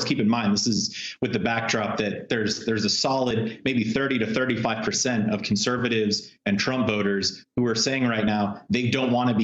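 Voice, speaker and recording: An American man, a political reporter, giving informal live analysis in a loose, conversational way over a remote link from a room. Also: on the low side. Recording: roomy, dull video call sound.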